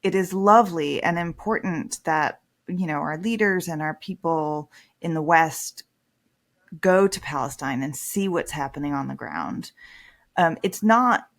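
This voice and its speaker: Woman in her 30s talking about her life on a podcast, kinda low voice, soft then suddenly emphatic. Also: measured pace. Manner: thoughtful, candid, swings from soft to emphatic. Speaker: priest and author, guest. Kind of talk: reflective conversational interview.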